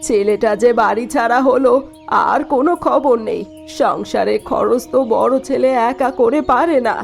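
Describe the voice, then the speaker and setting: Lady doing bossy older mother-in-law for a cartoon, dramatic, a bit high, music under it. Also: unhurried, gravelly, a little mellow. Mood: worried, indignant. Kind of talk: Bengali cartoon voice acting. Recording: music behind the voice.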